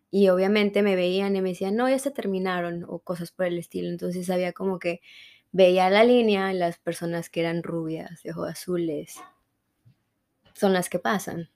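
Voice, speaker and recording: A woman with a Mexican accent, speaking on the low side of her range. As an interview guest she tells her story with reflection and animation, mixing personal memories with her views. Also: darkish tone. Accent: Mexican Spanish. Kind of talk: conversational interview.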